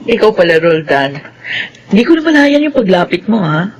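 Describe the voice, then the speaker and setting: Doting middle-aged Filipino mom, soothing, radio soap delivery, medium-low female voice. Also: very animated, moderate pace, slight background noise, rough recording. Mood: light, doting.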